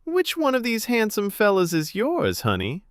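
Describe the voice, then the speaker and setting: A man's voice: high-pitched, wildly swooping pitch, moderate pace, no echo, studio quality, pitched above the performer's own voice. A man voicing a nosy, blunt older woman with a familiar, forthright manner in an audio story.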